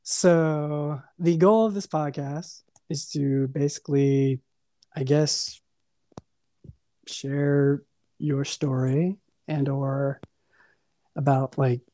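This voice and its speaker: A man's voice: fairly high pitch, steady tone, extremely slow, long pauses, mellow, dry recording. A man hosting a casual podcast interview, hesitant and restarting his questions often. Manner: hesitant, full of fillers and restarts. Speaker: podcast host.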